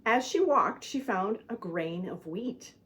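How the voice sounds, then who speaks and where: Woman narrating a children's story, medium pitch. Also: lively intonation, slow, fairly bright tone. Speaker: storyteller, puppet show narrator.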